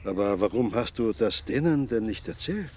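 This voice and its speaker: An actor playing a nosy, prodding village crony with an Austrian accent in a radio play. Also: male voice, lowish voice, expressive, normal speed, a little mellow, a little hiss or hum. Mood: curious.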